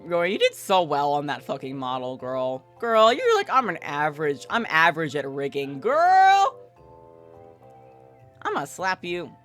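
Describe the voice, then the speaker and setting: A woman livestreamer with a bright, very lively voice. She is playful and slangy, chatting casually and narrating a visual novel, with faint music behind her. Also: lowish voice.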